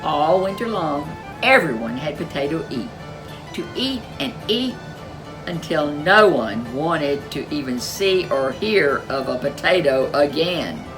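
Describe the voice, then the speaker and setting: A woman reading a folk tale aloud as a storyteller, expressive and animated. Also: low-pitched, a little slow, over music, poor audio. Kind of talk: storybook read-aloud narration.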